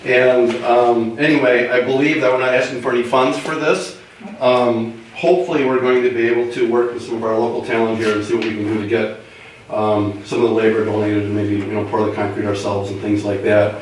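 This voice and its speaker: American guy w/ a cold, rough voice, kinda monotone, giving a presentation, way off mic. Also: medium pitch, a little fast. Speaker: grant presenter at council meeting. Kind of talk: informal spoken presentation. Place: indoor meeting room, far mic. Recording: echoey room, distant mic, faint noise.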